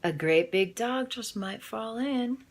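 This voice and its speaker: A woman's voice: on the high side, lively intonation, slow pace, slight background noise, pitched above the performer's own voice. A woman voicing a cheeky, fearless tiny girl in a children's storybook. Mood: teasing. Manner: cheeky, bold.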